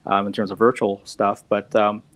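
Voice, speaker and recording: A man's voice: fairly low pitch, little pitch movement, moderate pace. A man in his fifties chatting casually on a video call, rambling and self-deprecating and laughing often. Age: 50s. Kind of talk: casual chat.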